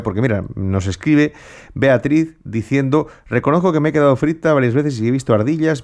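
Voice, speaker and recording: A man's voice: medium pitch, darkish tone. Playful male radio host. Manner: playful, reads listener messages. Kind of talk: radio talk show.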